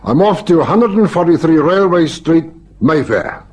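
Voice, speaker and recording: Methodical police lieutenant. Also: male voice, fairly high pitch, animated, a little slow. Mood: brisk, businesslike. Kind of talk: vintage radio crime drama acting. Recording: noisy old radio drama recording.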